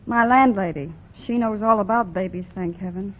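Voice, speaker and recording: A woman's voice: medium pitch, normal speed, natural voice. An actress playing a tired young working mother in a 1940s radio drama who talks in a dry and sardonic way. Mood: weary. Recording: muffled 1940 radio broadcast, faint hiss.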